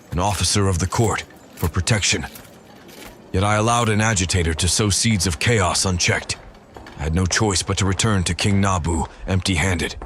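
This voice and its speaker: A man voicing a loyal, earnest royal officer who gravely narrates his investigation in an audio drama. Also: low-pitched, a bit bright, slight background noise. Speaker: writer and performer of the audio drama. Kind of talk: scripted first-person audio drama narration.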